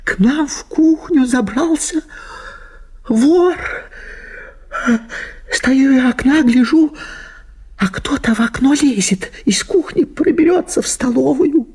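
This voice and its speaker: A man's voice: very high voice, lively intonation, slow pace, long pauses, darkish tone, voice pushed up, exaggerated voice. A man voicing a hysterical, prudish wife who pleads and scolds melodramatically in an audio play. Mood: frantic, pleading. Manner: breathless, pleading, melodramatic exclamations. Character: hysterical, prudish, indignant wife at a country house.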